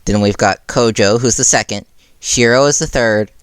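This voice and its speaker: A man voicing a mischievous, chaotic time traveler of about thirty, informal, blunt and excitable. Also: mid-range voice, moderate pace, clean tone, no room sound, lightly acted. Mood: gleeful.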